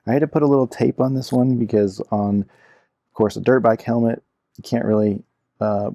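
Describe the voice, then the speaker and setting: Guy doing a casual product review, gravelly voice, dry close sound, explains stuff informally. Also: mid-range voice, steady tone, normal speed, warm tone, no room sound. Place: indoor room at a cluttered computer desk.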